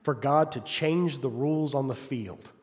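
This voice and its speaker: A man preaching a sermon, calling for "amen" from the congregation and swinging between loud, fervent passages and quiet asides. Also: medium pitch, unhurried. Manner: call-and-response, asks congregation "Amen?". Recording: long hall reverb.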